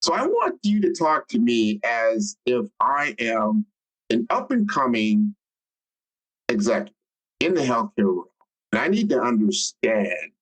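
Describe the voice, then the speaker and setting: A man's voice: medium pitch, poor audio. Conversational male podcast host. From Omaha, Nebraska. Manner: conversational, animated questions. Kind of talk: podcast interview.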